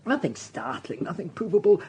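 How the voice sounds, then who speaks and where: An actor playing a shifty, catty hotel proprietor with an arch, disdainful manner in a radio drama. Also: male voice, high-pitched, very animated, a little fast, darkish tone, breathy edge, full character voice. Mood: catty, gossipy. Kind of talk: British radio drama acting.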